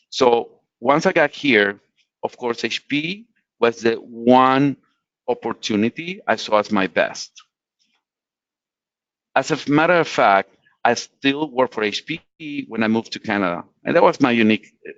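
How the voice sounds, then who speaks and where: A man's voice: medium pitch, animated, unhurried, fairly bright tone, no echo. Man from latin america, english speaker, earnest advice, dry muffled webinar sound. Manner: earnest, explanatory, frequent restarts. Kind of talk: career advice talk.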